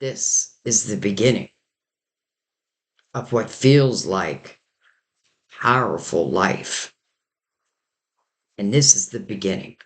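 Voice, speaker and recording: A man giving a rambling, casual tarot reading while losing his voice and sounding hoarse. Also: fairly low pitch, slow, long pauses. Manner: rambling, loose and intuitive, many "like" and "you know". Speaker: tarot reader.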